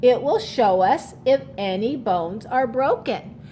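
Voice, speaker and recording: A woman voicing a kind, encouraging female doctor in a children's storybook, in a gentle manner. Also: a little high, lively intonation, slow pace, slight background noise. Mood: reassuring.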